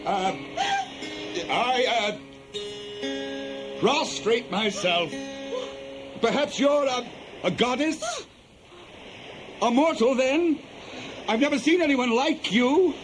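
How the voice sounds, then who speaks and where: A desperate castaway hero of ancient Greece speaks in a very high, slow, theatrical voice. Music sits behind him, as in vintage radio drama. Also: male voice, lively intonation, full character voice, rough recording. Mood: humble pleading. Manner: halting, theatrical, pleading. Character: weary shipwrecked Greek hero, desperate and dramatic. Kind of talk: 1970s radio play acting.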